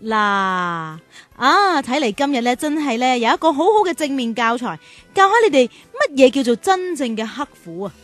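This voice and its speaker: A woman playing a kindly big-sister guide in a children's radio drama, reassuring, expressive and fond of explaining things. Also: fairly high pitch, moderate pace, faint music behind. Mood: explanatory.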